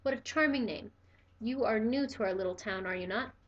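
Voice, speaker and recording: A woman reading aloud and voicing a creepy boy posing as a suave vampire, with smooth sinister charm. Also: on the high side, brisk, dull audio, natural voice. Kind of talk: storybook read-aloud.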